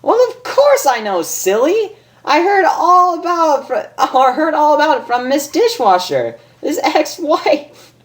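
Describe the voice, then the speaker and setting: Lady putting on a bubbly girl pony cartoon voice, way high, sing-songy n playful, swoops around a lot. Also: measured pace. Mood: teasing, cheerful. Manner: excitable, sing-song, playful. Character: bubbly, giggly, mischievous female cartoon pony.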